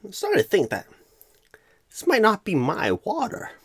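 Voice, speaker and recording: A man playing a clueless, bumbling job recruiter in a comedic ASMR role-play, rambling with odd pauses and repeated words, flustered but eager. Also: high-pitched, wildly swooping pitch, husky, no echo, exaggerated voice. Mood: awkwardly chatty.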